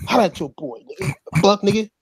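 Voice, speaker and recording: Lively profane podcast host, a man. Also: sits high, fast, slightly rough, dry recording, studio quality. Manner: loud, profane, joking banter. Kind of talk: casual group chat.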